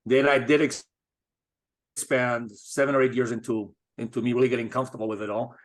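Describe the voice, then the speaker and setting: Measured middle-aged man from Spain, interview guest, even mid-range voice. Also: mid-range voice. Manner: measured, thoughtful, with frequent restarts. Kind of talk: casual interview talk.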